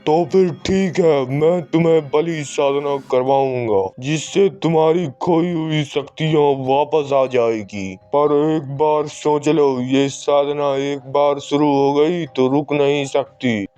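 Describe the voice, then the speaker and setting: A scheming sorcerer, played by a man, lays out his ritual in a slow, solemn, mellow voice for a horror cartoon. Soft music runs faintly behind him. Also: a little high, steady tone. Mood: bargaining. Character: calculating male tantric sorcerer, twin brother.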